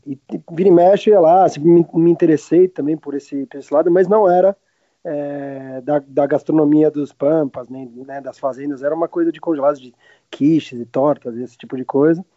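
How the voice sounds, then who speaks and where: A man in his late thirties from Argentina with a Brazilian accent, chatting casually and haltingly as an interview guest, often backtracking mid-sentence. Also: on the high side, no room sound. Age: late 30s. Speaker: podcast guest, restaurant owner. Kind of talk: casual chat. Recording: roomless dry, slightly dull lo-fi sound.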